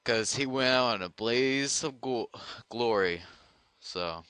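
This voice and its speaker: A man chatting casually and making a prank phone call in a deadpan, slangy manner. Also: mid-range voice, takes it slowly, clear, resonant tone, no echo. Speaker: prank caller.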